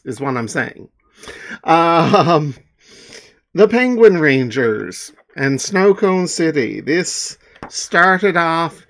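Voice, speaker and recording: A middle-aged man from Newfoundland, Canada, talking casually to viewers in a halting, self-deprecating, rambling way. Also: mid-range voice, a bit bright. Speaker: comic artist and author.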